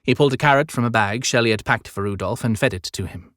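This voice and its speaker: A man's voice: mid-range voice, fast, fairly bright tone, no echo, clean studio sound. A man narrating scripted fiction as an audiobook storyteller.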